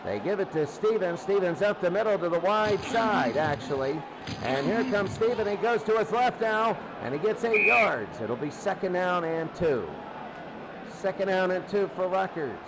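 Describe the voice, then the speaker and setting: High-voiced male football play-by-play announcer, noisy recording. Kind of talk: live American football play-by-play commentary.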